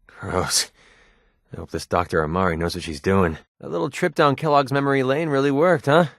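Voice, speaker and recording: An actor playing a wry, cocky young mercenary in a video game, with a sardonic, casual, colloquial delivery. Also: male voice, medium pitch, steady tone, brisk, no echo, studio quality, lightly acted. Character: wry young male mercenary, cocky, wary, protective father. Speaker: video game companion character. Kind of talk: video game voice acting, casual banter lines.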